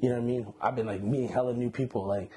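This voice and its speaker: Young man from Iran, mellow low-key tone, casual storyteller, lapel mic in a room, faint background hiss. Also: very fast. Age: 20s. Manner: relaxed slangy storytelling, many fillers. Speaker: interview guest. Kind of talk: casual interview. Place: indoor room, seated on a couch.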